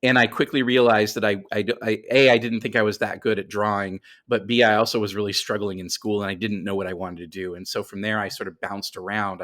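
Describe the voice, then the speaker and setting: Man, American accent, fairly deep pitch, casual storytelling, rambling, self-effacing interviewee. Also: a bit bright. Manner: rambling, self-deprecating, frequent chuckles. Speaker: interview guest, writer.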